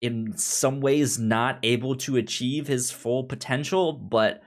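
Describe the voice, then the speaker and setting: A man's voice: mid-range voice, steady tone, takes it slowly. A man co-hosting a podcast in casual discussion of comics, often repeating and restarting his words as he goes. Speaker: podcast co-host.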